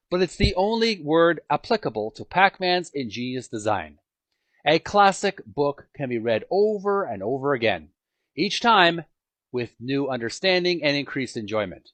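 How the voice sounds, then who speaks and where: A man narrates from a book in an emphatic, dramatic way, breaking lines with pauses. His fairly high voice swings widely in pitch. The recording is dry and close, with music playing behind him. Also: fairly bright tone, no room sound. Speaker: host reading a book aloud. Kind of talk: scripted book narration, amateur read-aloud.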